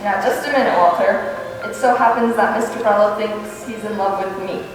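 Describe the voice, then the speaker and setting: A woman playing a wry, sharp-tongued high school teacher in an old-time radio comedy, with a dry, wisecracking delivery. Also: fairly even intonation, normal speed, music in the background, lightly acted. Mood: worried. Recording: echoey hall from far off, faint hiss.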